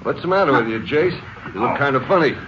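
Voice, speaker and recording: A man's voice: mid-range voice, expressive, quick pace, close to an everyday voice. An actor playing a plain-talking lawman sidekick in a 1950s radio western, with a folksy manner. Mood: concerned, reflective. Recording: muffled, noisy 1950 radio recording.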